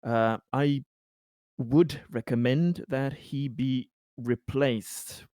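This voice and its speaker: A man playing a tabletop roleplaying game, improvising an advisory report in a hesitant, halting manner. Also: mid-range voice, mellow, dry recording, clean studio sound.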